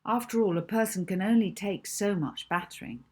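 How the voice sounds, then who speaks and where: A woman's voice: mid-range voice, animated, normal speed, a little mellow, husky. A woman narrating a children's audiobook, reading scripted story prose expressively as its author.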